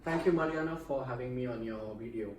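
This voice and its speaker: A man from Mumbai explains things calmly and in a measured way, pausing often with "uh." His voice is mid-pitched. The mic sits at a distance in an echoey room, with a faint hum underneath. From India, Mumbai. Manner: measured, explanatory, frequent "uh" pauses. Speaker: interview guest. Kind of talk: casual interview.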